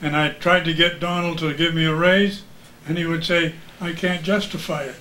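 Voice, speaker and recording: Older American man, high-pitched, echoey room. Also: steady tone, moderate pace, sharp tone. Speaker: retired radio announcer as guest. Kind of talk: reminiscing casual chat. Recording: echoey room with background noise.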